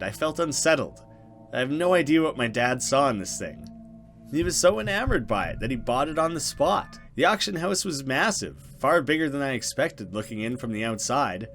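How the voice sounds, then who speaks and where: A man's voice: fairly high pitch, fairly bright tone. A man voicing an earnest young woman from a horror visual novel in a conversational storytelling way. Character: grieving young woman, friendly hostess, unsettled by things. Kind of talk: visual novel dialogue read aloud.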